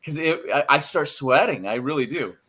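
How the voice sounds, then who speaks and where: An American man chatting casually as a podcast guest, telling anecdotes in an animated, self-deprecating way. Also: a little high, normal speed, breathy edge. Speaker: interview guest, radio play-by-play announcer.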